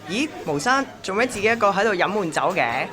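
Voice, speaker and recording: A woman's voice: medium pitch, animated, quick pace, music in the background, crowd noise, close to an everyday voice. A woman with a Hong Kong Cantonese accent playing an obsessively devoted young male courier in a radio drama. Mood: friendly, solicitous.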